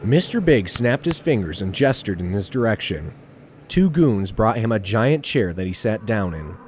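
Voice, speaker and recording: A man's voice: lowish voice, big pitch swings, normal speed, a little mellow, a little hiss or hum. A man reading scripted narration for an audio drama as its narrator.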